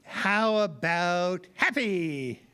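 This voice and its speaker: High-voiced man presenting to children, very slowly. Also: expressive, pushed and bright, clear, resonant tone, soft background music. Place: live library event before an audience.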